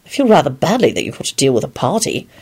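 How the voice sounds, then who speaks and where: A woman voicing a calm, stern but patient husband and father in a storybook, speaking in a measured way. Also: low-pitched, breathy edge, exaggerated voice.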